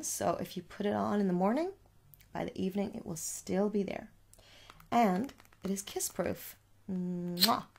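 A woman in her thirties doing a soft-spoken ASMR shop roleplay, gently reassuring and repeating words for effect. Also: medium pitch, gravelly, husky. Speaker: ASMR performer playing a shop assistant. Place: small room indoors.

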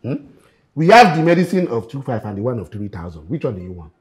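A man's voice: mid-range voice, big pitch swings, quick pace, natural voice. A man with a Nigerian accent playing a cheeky, bargaining medicine shopkeeper in a comedy skit. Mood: coaxing. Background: loud music.

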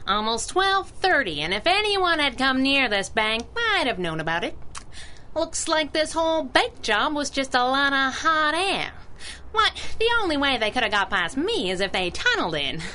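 A woman's voice: high voice, big pitch swings, normal speed, sharp tone, a little hiss or hum, full character voice. A woman playing a plucky, wisecracking masked crimefighter in a 1930s-style radio drama, with sassy pulp patter. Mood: restless, self-chiding.

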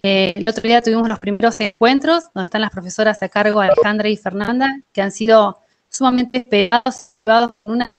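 A woman's voice: medium pitch, a little fast. A woman in her thirties with an Argentine accent, giving an informal presentation over a video call. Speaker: education programme presenter. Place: indoor room at home.

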